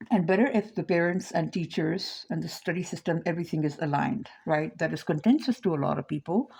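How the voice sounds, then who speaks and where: A woman's voice: low-pitched, gravelly, darkish tone, slightly rough. A woman teaching her framework in an informal lecture, meandering and thinking aloud, often checking in with "right?".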